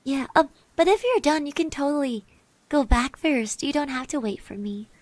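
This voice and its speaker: A woman playing a shy, friendly schoolgirl classmate in an ASMR roleplay, speaking softly, gently and hesitantly. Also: sits high, expressive, normal speed, no room sound. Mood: shy, hopeful. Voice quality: soft, breathy. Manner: hesitant, gentle, with little hums and trailing repeats. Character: shy, friendly high school girl classmate, admiring and easily flustered.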